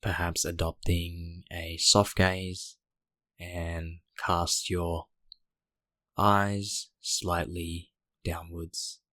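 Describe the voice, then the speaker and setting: A man guiding a meditation in a gentle, unhurried way, speaking in short phrases with long pauses. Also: deep voice, little pitch movement, no echo. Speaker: meditation guide. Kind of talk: guided meditation narration.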